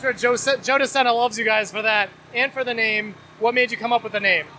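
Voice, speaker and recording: A man's voice: very high voice, fairly even intonation, sharp tone, slight background noise. A man hosting a podcast, doing upbeat interviews outdoors at an obstacle race. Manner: fast, upbeat, affirming. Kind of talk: finish line interviews.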